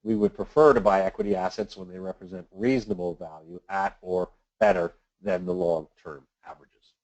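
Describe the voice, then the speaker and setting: A man presenting a semi-scripted financial briefing as an analyst, explaining things in a measured, matter-of-fact way. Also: sits low, lively intonation, darkish tone, muffled recording. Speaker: financial analyst hosting a weekly market briefing. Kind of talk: semi-scripted market commentary.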